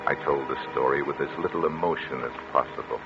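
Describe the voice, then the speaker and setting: An actor playing a controlling, calculating doctor in a formal, measured 1940s radio suspense style. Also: male voice, a bass voice, over music. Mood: cold, measured. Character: controlling, coldly calculating male doctor narrating his crime. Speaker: radio actor, lead and narrator. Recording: muffled, noisy vintage 1940s radio recording.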